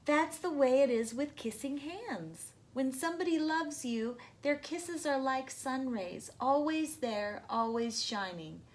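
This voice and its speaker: A woman's voice: high voice, expressive, a little slow, few pauses. A woman voicing a loving mother raccoon in a children's storybook, speaking in a tender, soothing, motherly way.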